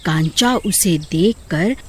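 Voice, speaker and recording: A woman's voice: fairly low pitch, expressive, slow pace, a little mellow, breathy edge. A woman narrating a scripted horror story.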